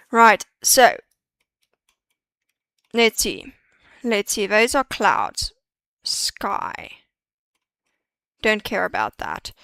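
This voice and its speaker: A woman's voice: mid-range voice, creaky, a bit bright, no room sound, studio quality. A woman streaming a 3D modeling session, chatting and muttering to herself as she works through each step. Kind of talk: live-stream tutorial, thinking aloud.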